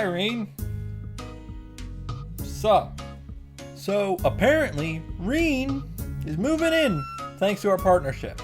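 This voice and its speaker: A man giving a narrated recap with comic first-person commentary, informal, sweary and mock-exasperated in manner. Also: fairly high pitch. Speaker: host of a visual novel reaction and summary show. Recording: faint music behind the voice. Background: faint background music.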